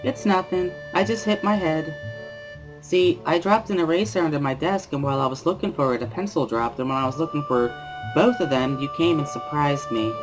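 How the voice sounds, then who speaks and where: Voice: medium pitch, a little fast, over music, voice pushed up, lightly acted, low-quality recording. A performer voicing a jittery, over-apologetic young woman librarian in a dramatic reading, flustered and rambling. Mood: strained, flustered.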